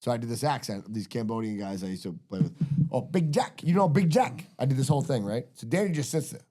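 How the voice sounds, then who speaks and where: A man's voice: medium pitch, quick pace. A man in his fifties hosting a podcast, telling stories in loose banter and swearing freely. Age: 50s. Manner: animated storyteller, swears freely. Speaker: podcast host. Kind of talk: casual banter.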